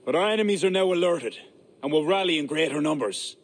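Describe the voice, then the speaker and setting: An actor playing an ambitious medieval high king in a game cutscene, speaking in a grave, commanding, regal manner. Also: animated, fast, lightly acted. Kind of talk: video game cutscene acting.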